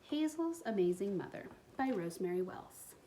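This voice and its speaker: A woman reading a picture book aloud to young children in an expressive way, pausing to explain things to them. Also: medium pitch, slight background noise. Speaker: storytime reader for a school community.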